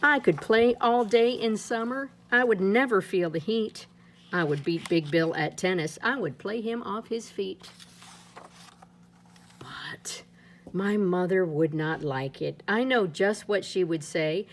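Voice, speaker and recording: A woman reading a rhyming children's picture book aloud in a playful, lilting way, her pitch swinging up and down. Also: measured pace, a little hiss or hum. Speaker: storybook reader.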